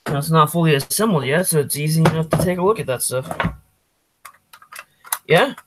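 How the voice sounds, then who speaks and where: A man's voice: a little high, expressive, brisk, heavy background noise. A man hosting a casual livestream, talking off the cuff and thinking aloud as he goes. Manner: off-the-cuff, thinking aloud while reading chat. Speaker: livestream host.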